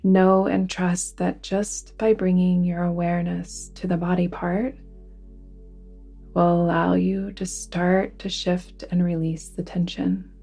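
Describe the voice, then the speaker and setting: Woman, meditation guide, husky soft-edged voice, even intonation, soothing and deliberate, faint music behind. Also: on the low side. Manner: soothing, deliberate, hushed phrase endings. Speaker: yoga nidra guide. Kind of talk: guided relaxation meditation narration.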